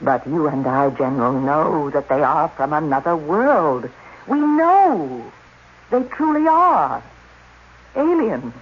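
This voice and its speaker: A man's voice: on the high side, big pitch swings, takes it slowly, breathy edge, exaggerated voice. A man playing a cunning, impassioned scientist in a radio drama, speaking with intense, persuasive insistence. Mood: calculating, insistent. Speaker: actor. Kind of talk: 1980s radio drama acting. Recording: dull, noisy old radio recording.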